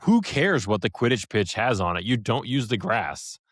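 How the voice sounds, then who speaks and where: Male co-host, very low voice, dry close mic, wry and offhand. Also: sing-song, normal speed, no room sound. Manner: offhand, wry, matter-of-fact. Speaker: podcast co-host. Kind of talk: casual chat.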